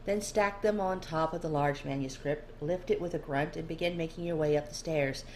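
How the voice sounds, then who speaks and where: A woman's voice: sits low, brisk, a little mellow. A woman narrating a scripted story in the second person, as an amateur reader of fiction. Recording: clear background noise.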